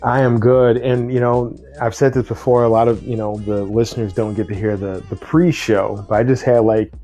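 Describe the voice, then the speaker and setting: A man's voice: medium pitch, steady tone, moderate pace, warm tone, faint music behind. A man hosting a podcast, speaking as an interviewer introducing and chatting with a guest. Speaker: podcast host. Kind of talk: scripted intro, then casual interview.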